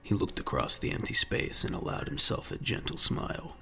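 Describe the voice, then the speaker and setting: A man's voice: moderate pace, pushed and bright. A man reading dramatic scripted narration for a science fiction audio drama. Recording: music under the voice.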